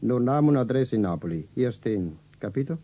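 An actor playing a brisk Italian hotel employee in a radio drama, with an Italian accent. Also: male voice, medium pitch, lively intonation, highs cut off, lightly acted.

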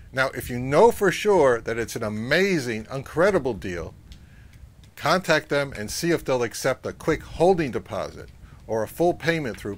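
A middle-aged man giving advice to camera as a channel host, speaking in a measured, instructive way. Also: medium pitch. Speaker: host of a vintage audio channel. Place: outdoors, among trees or on a porch.

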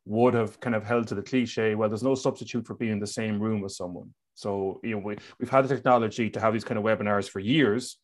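A man's voice: on the low side, steady tone, a little fast, hardly any pauses. Irish man explaining at a panel. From Ireland. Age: middle-aged. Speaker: education leader, webinar panelist. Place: indoors on a video call. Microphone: headset.

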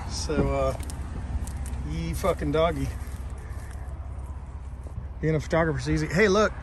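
An American man chatting casually and narrating a road trip vlog in a laid-back, joking, folksy way. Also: on the high side. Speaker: travel vlogger. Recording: noisy phone audio with vehicle and outdoor noise.

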